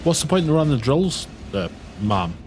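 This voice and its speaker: A man's voice: a little high, very animated, normal speed. A man playing a sardonic, grumbling starship crewman in a sci-fi audio drama. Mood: sarcastic. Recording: music under the dialogue.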